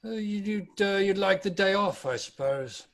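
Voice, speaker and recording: A man's voice: high-pitched, animated, normal speed, fairly bright tone. A man playing a miserly, grumpy old businessman in a table read, curt, sarcastic and dismissive. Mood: grumpy, grudging. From United Kingdom. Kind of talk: amateur table read of a Victorian Christmas story.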